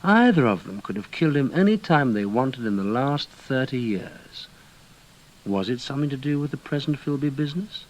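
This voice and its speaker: An actor playing a brisk, weary British intelligence officer in a radio drama, with terse, clipped questioning. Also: male voice, medium pitch, expressive, close to an everyday voice, rough recording. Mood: brooding narration. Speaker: investigator and first-person narrator.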